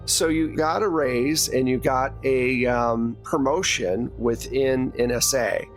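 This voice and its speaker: A man runs a tabletop role-playing game as game master, speaking at a slow pace with a middling pitch. He explains the setting conversationally, with faint music behind him.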